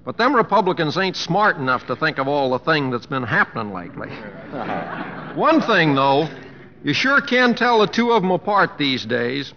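A man's voice: on the high side, very animated, normal speed. A man playing a folksy, wry cowboy humorist in a 1950s radio drama, with a homespun Southern Plains drawl. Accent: American Southern Plains. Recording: dull 1950s radio recording.

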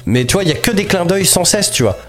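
A man's voice: sits high, quick pace. A man with a cold, chatting casually and rambling about films and cartoons as podcast co-host. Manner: lively, rambling, slangy. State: has a cold.

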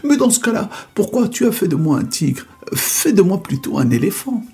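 A man voicing a frightened woodcutter, crying out in a high, panicky voice. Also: very animated, normal speed, darkish tone, soft background music. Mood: panicked. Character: frightened woodcutter turned animal, male.

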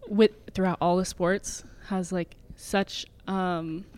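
A woman in her twenties, a swimmer being interviewed, talking casually with many fillers and quick laughs. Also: on the low side, steady tone, darkish tone, smooth. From Canada. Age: 20s. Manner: chatty, filler-heavy, laughs often. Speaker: guest, elite swimmer.

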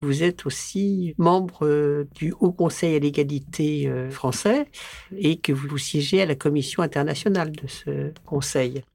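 A woman interviewing a guest, asking calm questions and offering short acknowledgements. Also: deep voice, a little slow, creaky, dry recording. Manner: calm, brief prompts and backchannels. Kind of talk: podcast interview.

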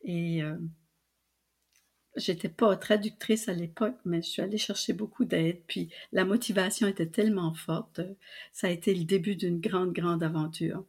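Older Acadian-region Canadian French woman guest, low-pitched, rambling storyteller. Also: steady tone, moderate pace, slightly rough. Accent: Canadian French (New Brunswick). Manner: warm storyteller with many fillers and restarts. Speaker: guest, publisher and translator. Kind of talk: casual storytelling interview.

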